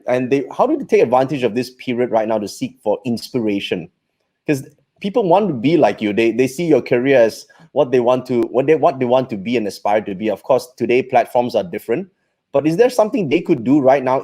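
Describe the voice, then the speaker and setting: A man hosts a livestream interview in an encouraging way, his talk full of fillers and tag questions. His voice has an ordinary middle pitch for a man. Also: dark tone. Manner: encouraging, many fillers like "you know" and "right". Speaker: interviewer host.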